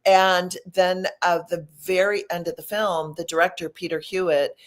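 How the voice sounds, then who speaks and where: A woman's voice: fairly low pitch, steady tone, moderate pace. A middle-aged woman from Michigan with a Midwestern accent, a guest actress telling her story eagerly in a live talk show interview in a restaurant. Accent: Midwestern American. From Michigan, USA.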